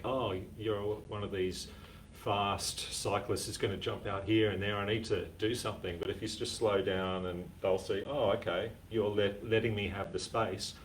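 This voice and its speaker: A man co-hosting a casual live stream, speaking in an easygoing, halting way full of "um"s and dry little jokes. His voice is very low. He sounds a step back from the microphone, with a faint hum underneath. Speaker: co-host. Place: community repair centre. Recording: distant mic with faint background noise.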